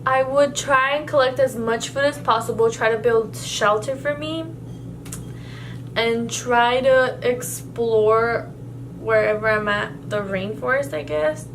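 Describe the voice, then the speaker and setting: A woman's voice: on the high side, slow pace, slight background noise. A young woman whose first language is Spanish, answering questions in a casual vlog and rambling with many fillers and restarts. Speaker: vlogger. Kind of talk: casual Q&A tag video.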